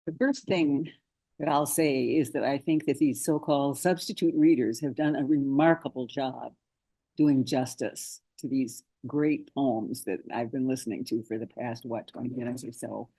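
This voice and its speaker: A man's voice: mid-range voice, normal speed, warm tone, rough recording. A man speaking informally over a video call as a poet introducing and reading a sonnet.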